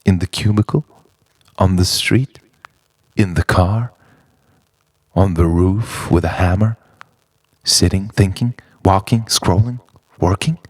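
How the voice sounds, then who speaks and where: A man narrating an introspective spoken-word monologue in halting, musing phrases full of rhetorical questions. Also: low voice, slightly rough, no echo. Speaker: narrator of a personal art film.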